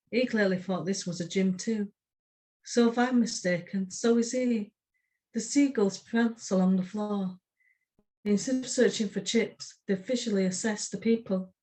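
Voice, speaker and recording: A woman's voice: mid-range voice, a little mellow, rough recording. Woman, mid-range voice, poet and podcast co-host, spoken verse, casual chat. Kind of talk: poetry reading and casual chat.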